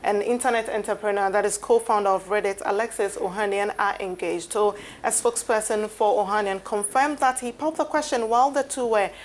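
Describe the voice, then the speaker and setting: Female TV sports presenter, medium pitch, chatty live delivery with pauses, light noise behind. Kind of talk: live sports news segment with studio chat. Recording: steady background noise.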